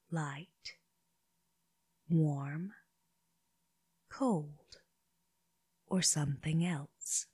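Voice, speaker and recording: Very slow, breathy woman guiding meditation. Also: low voice, dry recording. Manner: gentle, unhurried, short phrases with long pauses. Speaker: meditation guide for children. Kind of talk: slow guided body scan meditation.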